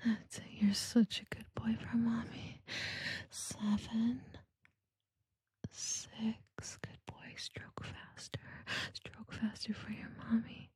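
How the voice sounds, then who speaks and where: Woman, very breathy whisper, soft mellow tone, teasing and commanding, adult roleplay, close-miked. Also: a little high, monotone, slow, no room sound. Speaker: erotic audio performer. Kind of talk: intimate erotic roleplay, whispered.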